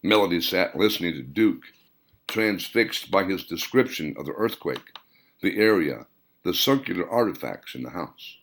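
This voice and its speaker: A man reading scripted audiobook fiction in a deliberate storytelling manner, with dramatic emphasis. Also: deep voice, animated, a bit bright. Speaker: author reading own novel.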